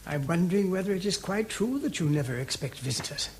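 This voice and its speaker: Mild-mannered priest detective, British accent, high voice, courteous, faltering, radio mystery, background noise. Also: male voice, animated, quick pace, a little mellow. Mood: polite. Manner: musing aloud, polite, hesitant. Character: gentle, inquisitive, mild-mannered English priest-detective, male. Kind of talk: British radio drama acting.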